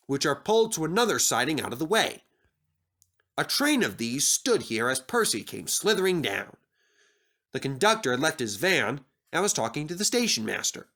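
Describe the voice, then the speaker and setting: A man's voice: a little high, sharp tone. A man reading a scripted children's story aloud as the narrator.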